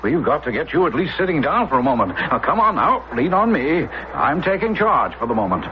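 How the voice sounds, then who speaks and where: An actor playing a hearty, bossy, concerned gentleman friend in an old-time radio drama, blustering and commanding. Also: fairly high pitch, very animated, brisk. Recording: dull, noisy old radio recording.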